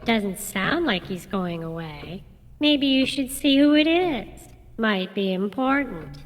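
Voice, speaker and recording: Fussy, slow-speaking wife played by a woman. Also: medium pitch, big pitch swings, music in the background. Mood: insistent, concerned. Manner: insistent, fussy. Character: nagging, vain but soft-hearted wife. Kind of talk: radio comedy acting. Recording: reverberant hall, faint background noise.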